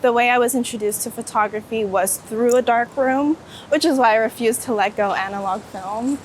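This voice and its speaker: A woman with a fairly high voice talks informally and with enthusiasm, like someone being interviewed on a walk. She is outdoors, with some city traffic noise behind her. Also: normal speed, rough recording. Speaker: street photographer being interviewed. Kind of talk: casual interview chat while walking. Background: street ambience and passing vehicles.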